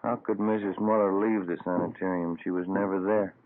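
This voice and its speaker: An actor playing a calm American police officer who speaks briefly and politely in an old-time radio drama. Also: male voice, sits low, a little fast, lightly acted. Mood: calm, firm. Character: calm, laconic male police officer, the sergeant's partner. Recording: muffled tinny vintage radio.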